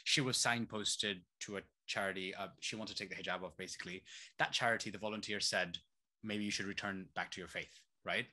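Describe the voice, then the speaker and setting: A man's voice: on the low side, steady tone, a little fast, sharp tone. A man who grew up between Saudi Arabia and East London, talking animatedly as a guest, firing off rhetorical questions. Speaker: activist guest. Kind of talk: conversational interview.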